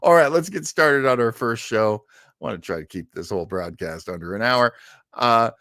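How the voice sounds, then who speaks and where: A man's voice: mid-range voice, moderate pace, a bit bright, no room sound, studio quality. A man chatting casually as the host of a livestream, rambling in a self-deprecating, easily amused way. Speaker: host of an old-time radio livestream.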